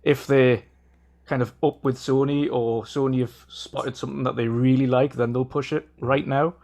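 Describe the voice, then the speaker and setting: A man's voice: mid-range voice, normal speed. Man, geordie accent, casual podcast talk, earnest, lots of ums and restarts. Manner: earnest, steady argument with frequent ums and restarts. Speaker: podcast co-host. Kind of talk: casual gaming podcast discussion.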